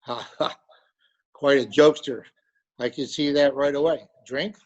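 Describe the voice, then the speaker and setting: A man's voice: mid-range voice, fairly even intonation, unhurried, lightly acted. A man playing a pompous, salesmanlike lonely hearts broker in old-time radio drama style. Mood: jovial, probing. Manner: salesmanlike, florid. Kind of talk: 1940s radio drama acting. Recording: dull, lo-fi remote call audio.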